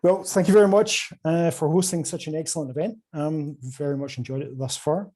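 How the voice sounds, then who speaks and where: A Scottish man presents at a conference over a video call, his voice mellow and soft-edged. He talks with frequent filler sounds and restarts, and his level rises and drops through each phrase. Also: on the high side, fairly quick. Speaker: conference speaker from a quantum computing company.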